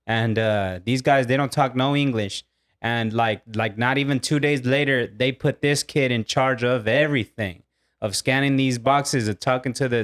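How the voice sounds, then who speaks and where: A man's voice: medium pitch, fairly bright tone, clean tone. Man from Mexico, young adult, rambling casual storyteller, podcast co-host. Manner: loose storytelling, lots of fillers.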